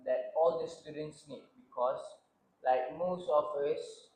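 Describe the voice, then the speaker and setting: Slow, hesitant young Malaysian man. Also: fairly high pitch, steady tone, a little mellow, a little hiss or hum. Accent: Malaysian English. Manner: hesitant, halting, many filler sounds. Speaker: panelist. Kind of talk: student panel discussion. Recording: roomy echo.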